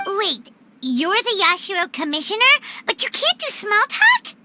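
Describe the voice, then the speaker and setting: A woman voicing a tiny, cheeky girl fairy companion in a video game, squeaky and childlike. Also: very animated, measured pace, slight background noise, full character voice. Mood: puzzled, casual. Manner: childlike, talks of self in third person. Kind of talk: scripted video game voice acting. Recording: loud music behind.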